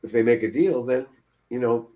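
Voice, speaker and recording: A man's voice: medium pitch, moderate pace, warm tone. An older man of about seventy co-hosting a casual panel chat over a video call, rambling and repeating himself. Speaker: podcast co-host. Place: room at home, indoors.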